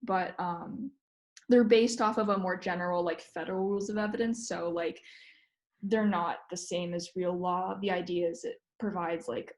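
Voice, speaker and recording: A woman's voice: lowish voice, steady tone, moderate pace, a little mellow. A young woman who leads a college mock trial club, chatting casually on a video call and using many fillers. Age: young adult. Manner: chatty, lots of "like", drops to near whisper. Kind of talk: casual Zoom chat.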